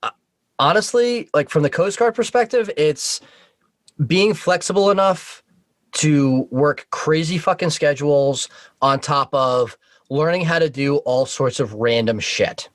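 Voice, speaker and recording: A man's voice: fairly high pitch, a little slow, no room sound. A man talking casually as a talk show guest, explaining things frankly and with some swearing. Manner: frank, profane. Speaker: guest on a talk show, Coast Guard member.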